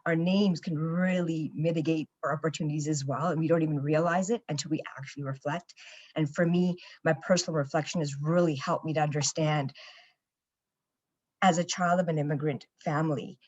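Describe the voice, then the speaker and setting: A woman's voice: low voice, darkish tone, muffled recording. A young Canadian woman with a Canadian accent, speaking as a panelist and reflecting earnestly on her own experiences, with frequent "you know" fillers. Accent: Canadian English.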